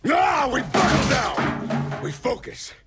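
An actor playing a bombastic male wrestler warlord, shouting theatrical boasts in a raspy, gravelly voice. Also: extremely high pitch, very animated, slow, over loud music, heavily acted. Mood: rallying. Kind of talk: video game cutscene.

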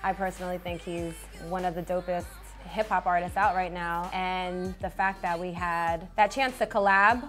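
A woman, a singer being interviewed, talking softly and hesitantly with many fillers. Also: fairly low pitch, steady tone, normal speed, over music. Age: 20s. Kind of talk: casual interview chat. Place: small studio with branded backdrop.

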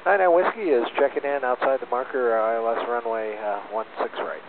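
A tinny aviation radio with faint noise carries a male pilot's voice. He has a medium pitch and gives short, clipped readbacks. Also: lively intonation, fairly quick.

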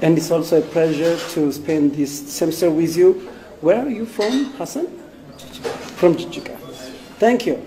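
A man's voice: a little high, normal speed, echoey room. A male teacher calling on students one by one in a classroom, encouraging them and thanking each in turn, filming on a handheld phone. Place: crowded indoor classroom full of students. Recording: noisy crowded classroom.

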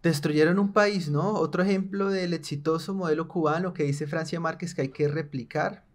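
A young Colombian man delivers biting political commentary from a small room, with a somewhat high voice. His tone is sarcastic and indignant throughout. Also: fairly even intonation, normal speed, noisy background. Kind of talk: opinionated video commentary.

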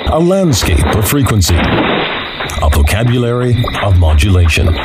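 A man's voice: fairly low pitch, sing-song, a little slow. A man delivering a dramatic scripted radio show opening in the manner of a vintage sci-fi anthology. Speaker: show intro announcer. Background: loud music.

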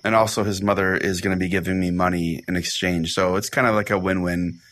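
A man's voice: deep voice, flat delivery, a little fast, fairly bright tone. A man hosting a comedy podcast, telling it in a theatrical, mock-solemn way. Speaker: podcast host. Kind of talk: comic dramatic storytelling and show chat. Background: music.

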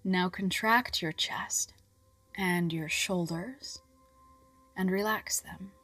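A woman reading a scripted guided relaxation in a soft, soothing, measured voice with long pauses and breathy phrase endings. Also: lowish voice, no echo. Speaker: guided meditation narrator. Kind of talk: scripted hypnobirthing relaxation guidance. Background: music.

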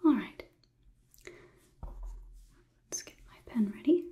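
A woman voicing a polite, condescending female robot overlord in a breathy whisper, measured and formal, as ASMR roleplay. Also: on the high side, lively intonation, lo-fi sound, full character voice. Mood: politely officious. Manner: measured, formal, softly officious.

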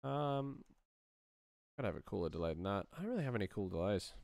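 Man, thirties, low creaky voice, dry close sound, casual muttered work commentary. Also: big pitch swings, fast talker, a little mellow, dry recording. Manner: muttering, thinking aloud in fragments. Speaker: sound designer, streamer. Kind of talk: casual screen-share commentary while working. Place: small indoor room at a computer.

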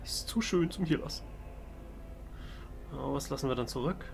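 A man's voice: medium pitch, moderate pace, vocal fry, over music. A man giving playfully ironic, in-character commentary as a let's play host. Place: voice-over on game footage.

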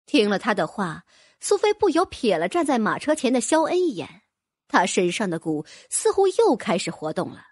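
A woman narrating a fantasy novel audiobook with expressive storytelling. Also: medium pitch, moderate pace, dry recording, studio quality.

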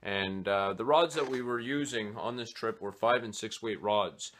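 A man in his forties talking casually to a webcam in a small home office, pausing often with "uh" between phrases as he explains his fishing gear. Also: on the low side, a bit bright. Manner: hesitant, many "uh" fillers. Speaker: fly fishing vlogger. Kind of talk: casual gear talk to camera.

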